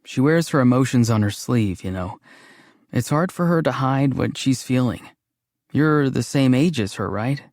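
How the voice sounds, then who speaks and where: An actor voicing a dreamy, awkward, gentle young man in a fantasy game, musing and hesitant in a deadpan way. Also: mid-range voice, normal speed, no echo, studio quality, lightly acted. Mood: earnest, awkward. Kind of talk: scripted game character voice lines.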